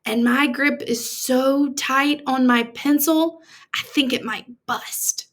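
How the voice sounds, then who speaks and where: A woman teacher reading a storybook aloud to children in an expressive, deliberate, explanatory manner. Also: high voice.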